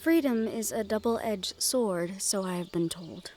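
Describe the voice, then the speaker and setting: A woman playing a sheltered young noblewoman in a fantasy audio roleplay, gentle, wistful and quietly willful, with formal phrasing. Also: medium pitch, measured pace, faint music behind, close to an everyday voice. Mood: wistful, resigned. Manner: formal speech with few contractions. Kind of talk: fantasy audio roleplay acting, addressed to the listener.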